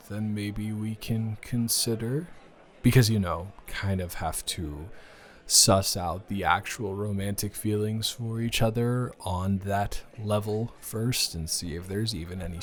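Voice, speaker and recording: A man playing a smitten young man in an improvised romantic audio roleplay, speaking to his casual lover in a hesitant, earnest, halting way. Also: on the low side, lightly acted. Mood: hopeful, gentle.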